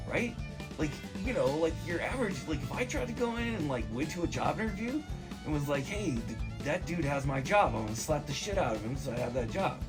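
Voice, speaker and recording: Excitable high-pitched man rambling with animated swings. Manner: slangy, profane, excitable, meandering storytelling. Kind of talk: rambling casual comedic movie recap voicemail.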